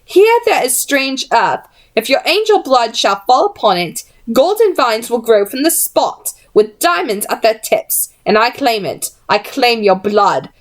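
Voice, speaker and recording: A woman voicing a small, menacing male goblin who sings his threats in a slow, gloating way. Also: a little high, big pitch swings. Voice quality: sing-song, gloating.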